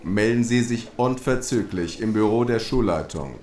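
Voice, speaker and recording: A man playing a stern, threatening high school principal with an authoritative, theatrical manner in an audio drama. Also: expressive, normal speed, darkish tone, a faint crowd, close to an everyday voice. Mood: announcing sternly. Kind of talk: fan audio drama acting.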